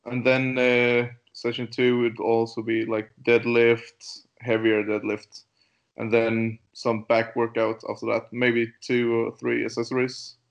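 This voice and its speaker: Slow, halting man from Denmark. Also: mid-range voice, monotone, creaky, muffled recording. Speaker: guest powerlifter. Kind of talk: casual interview chat.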